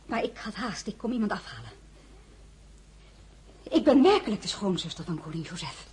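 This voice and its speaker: An actress in a period radio drama playing a determined marshal's wife with an insistent, commanding manner. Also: female voice, medium pitch, sing-song, normal speed, darkish tone, husky. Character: determined, high-born married woman, marshal's wife. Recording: noisy radio drama recording.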